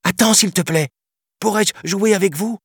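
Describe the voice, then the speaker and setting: A man voicing a small, eager and stubborn jungle boy in a children's storybook, with an excitable childlike delivery. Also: high voice, normal speed, slightly rough, no echo, studio quality, pitched above the performer's own voice.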